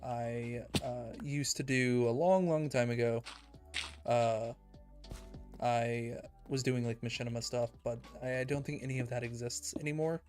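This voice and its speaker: Casting: young male streamer, calm and soothing, mid-pitched, soft-spoken, faint music underneath. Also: unhurried. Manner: calm, soft-spoken, self-described monotone. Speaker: Minecraft streamer. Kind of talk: casual livestream chat while building in a game.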